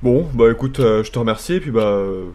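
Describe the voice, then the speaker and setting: A man's voice: mid-range voice, brisk, darkish tone, natural voice. A man playing a doubtful, hesitant villain boss questioning his underling in a comedy audio drama.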